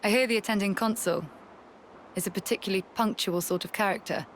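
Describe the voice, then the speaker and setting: A woman voicing a calm, formal, dignified military commander in a video game. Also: medium pitch, fast talker, slight background noise, natural voice. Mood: dryly teasing. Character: composed, dignified female military commander, dryly teasing. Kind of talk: scripted video game voice acting.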